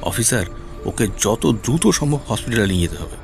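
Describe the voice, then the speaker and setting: A man acts out an anxious middle-aged householder for an audio drama. His pitch moves in lively swings as he repeats himself with urgency, with music underneath. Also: brisk, lightly acted. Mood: anxious explaining. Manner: agitated, repeats words urgently. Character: worried middle-aged householder, shocked and frantic about his servant. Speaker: actor in an audio story. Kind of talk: Bengali audio drama acting.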